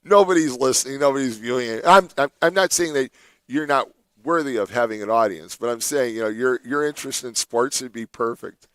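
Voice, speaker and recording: A male morning radio host in a dry studio, talking at an easy middle pitch. He chats loosely with his co-host, laughs a lot and slips into teasing mock voices. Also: a little fast, a bit bright, clean tone, dry recording. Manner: chatty, laughs often, does playful mock voices. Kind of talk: casual studio banter.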